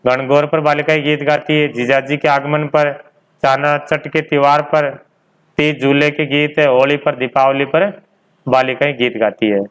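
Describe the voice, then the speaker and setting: A man's voice: on the high side, flat delivery, unhurried, fairly bright tone, smooth, low-quality recording. A man teaching an informal exam-prep class, repeating key points and posing questions that he answers himself.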